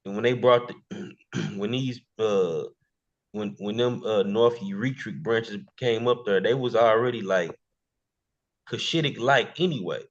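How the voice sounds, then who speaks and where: Gravelly man, slangy and profane. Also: lowish voice, unhurried, dull audio. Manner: slangy, profane street talk. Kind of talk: casual podcast chat.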